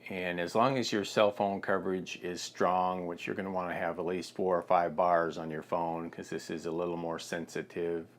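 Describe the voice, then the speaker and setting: An American man in his fifties giving a plain, halting instructional product demonstration on a lapel mic in a studio. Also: deep voice, level tone. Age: 50s.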